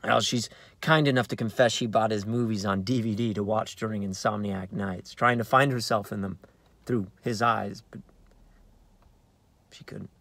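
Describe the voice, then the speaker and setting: A man in his thirties with an American accent, an actor reading a play as conversational, halting narration with uneven emphasis, recorded inside a car. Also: on the low side, steady tone, vocal fry, a bit bright, no room sound. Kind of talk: dramatic narration of a play read on book. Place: inside a parked car in daylight.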